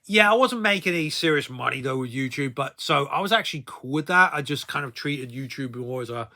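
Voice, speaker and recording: A man's voice: mid-range voice, animated, brisk, pushed and bright. A man in his thirties telling personal stories in a casual, rambling vlog style, often tacking filler phrases onto the ends of his sentences. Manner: rambling, repeatedly tagging sentences with "though, really, as well". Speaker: video blogger.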